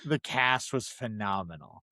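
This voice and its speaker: A man's voice: on the low side, lively intonation, unhurried, sharp tone, no room sound, studio quality. A man co-hosting a podcast, discussing film casually and circling back to qualify his points as he goes. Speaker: podcast host.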